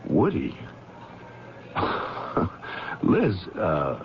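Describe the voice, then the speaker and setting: An actor playing an uneasy, earnest friend in a 1970s radio drama, halting and evasive in his talk. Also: low voice, huge pitch swings, creaky, heavily acted. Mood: taken aback. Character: uneasy, hesitant male friend of a troubled wife. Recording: muffled, faintly noisy old radio recording.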